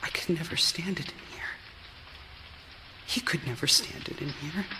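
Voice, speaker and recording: An actress playing a fretful, confined wife sinking into obsessive madness in a live theatrical radio drama, confiding in a hushed way and breaking into agitation. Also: female voice, sits low, normal speed, noisy background, lightly acted. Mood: wistful, brooding. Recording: live stage recording with music underneath. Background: music.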